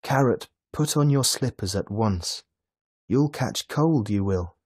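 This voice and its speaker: A man voicing a doting mother in a children's storybook, fond and fussing as she gently chides her son. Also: on the low side, animated, slow, husky, no room sound, studio quality, exaggerated voice.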